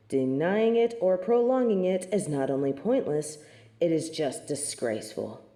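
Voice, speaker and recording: A woman's voice: deep voice, dark tone. A woman playing a snobbish, merciless angel of judgement in an audio drama, speaking formally with imperious disdain. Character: haughty, merciless female angel of judgement, snobbish and self-righteous. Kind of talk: scripted audio drama acting. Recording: roomy, echoing sound.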